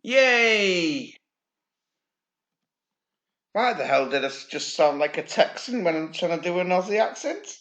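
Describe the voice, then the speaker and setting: A man's voice: on the high side, expressive, a little fast, few pauses, reverberant. A man reading news reports aloud and breaking in with dry, sardonic asides and casual swearing. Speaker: channel host.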